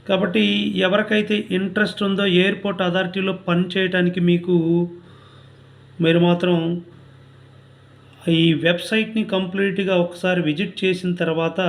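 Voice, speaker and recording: A man with a high-pitched voice and a Telugu accent presents as a channel host. His delivery is very even, with little rise and fall. He reads out informational notices item by item, in an instructional manner. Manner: instructional, reading out details item by item. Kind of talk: informational job notification narration.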